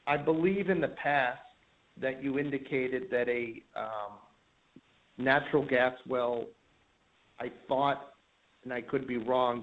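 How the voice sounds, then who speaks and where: A man calling in as an analyst, putting probing questions in a halting way on an earnings call. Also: medium pitch. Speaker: caller, investment analyst. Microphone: telephone. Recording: tinny narrow phone line.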